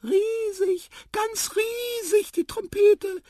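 A performer voicing a tiny, cheeky, curious talking mouse with a piping squeak, lively and chirpy, in a children's story. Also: very slow, no room sound, clean studio sound, heavily acted. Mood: eager, excited.

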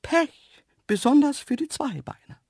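A man's voice: very high voice, animated, measured pace, a little mellow, no echo, clean studio sound, voice pushed up, exaggerated voice. A man voicing a dainty, cheeky female antelope, easily offended, with a small delicate voice, in a children's story. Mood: huffy. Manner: saucy, haughty.

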